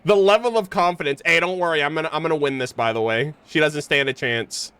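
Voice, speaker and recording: A man's voice: on the high side, quick pace, pushed and bright, clear, resonant tone. A man streaming a game, giving casual, slangy, joking reaction commentary.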